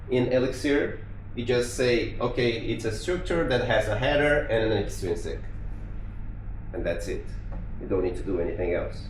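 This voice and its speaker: A man from Brazil with a Brazilian Portuguese accent, giving a technical conference talk in a conversational way, with restarts and fillers. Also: mid-range voice. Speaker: conference speaker. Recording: echoey room with faint noise.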